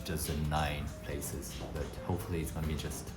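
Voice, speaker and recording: A young man with a French accent chats through a casual food vlog, wandering off with fillers and little self-corrections. His voice sits low and deep. Clear music plays behind him the whole time. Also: level tone, a little fast. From France. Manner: chatty, rambling, with many fillers and self-corrections. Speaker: food vlogger. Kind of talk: casual travel vlog narration.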